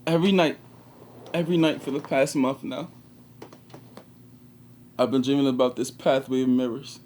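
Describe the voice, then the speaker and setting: An actor playing an anguished, regretful 21-year-old prisoner in a confessional stage monologue with dramatic pauses. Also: male voice, mid-range voice, fairly even intonation, a little mellow, a little hiss or hum, close to an everyday voice. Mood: wistful. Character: anguished 21-year-old male prisoner, former track star, bitter and regretful.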